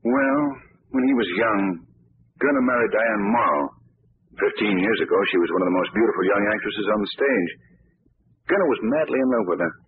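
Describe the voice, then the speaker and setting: An actor playing a calm, wealthy young man-about-town in a 1940s radio drama. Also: fairly low pitch, a little fast, breathy edge, no room sound, full character voice. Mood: explaining. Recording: tinny old radio broadcast.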